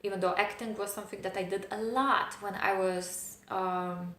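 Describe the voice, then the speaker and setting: A woman in her thirties who speaks English as a non-native speaker with a noticeable foreign accent. She talks in a thoughtful, reflective monologue with pauses and fillers. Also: medium pitch, fairly even intonation, a bit bright. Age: 30s. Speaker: vlogger. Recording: roomy echo.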